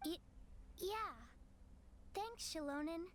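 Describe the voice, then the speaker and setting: A woman's voice: very high voice, slow, slight background noise. A woman voicing a timid little girl in a video game, hesitant and stammering. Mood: timid, apologetic. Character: timid, sickly little girl, apologetic daughter.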